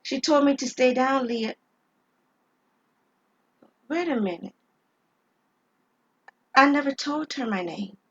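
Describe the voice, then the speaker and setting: A woman acts out a shaken, puzzled female victim for an audio drama. She sounds soft and hesitant, in a high voice. The recording is slightly dull. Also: expressive, slow pace, long pauses, breathy edge, heavily acted.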